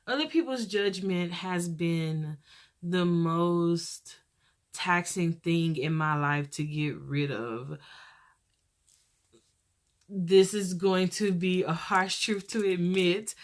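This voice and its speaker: Soft-spoken young woman, low and gentle. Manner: rambling, pausing, gentle and earnest. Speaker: podcast host. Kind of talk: casual podcast monologue.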